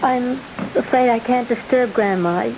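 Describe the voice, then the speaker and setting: A polite, timid eighteen-year-old speaks with gentle hesitation. It is old-time radio drama acting, on a recording with clear hiss. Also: female voice, on the high side, a little slow. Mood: anxious, polite. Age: young adult.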